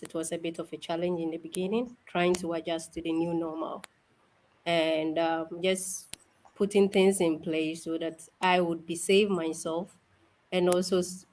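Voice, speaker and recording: A young woman from Ghana, a guest on an interview show, cheerful and soft-spoken, laughing often as she talks. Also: on the low side, fairly even intonation, moderate pace, poor audio. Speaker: guest, event organizer. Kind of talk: casual interview.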